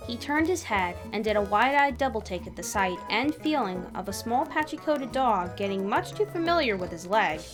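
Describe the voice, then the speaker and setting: A woman reading fan fiction aloud as an expressive third-person narrator with dramatic pauses. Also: a little high. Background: background music.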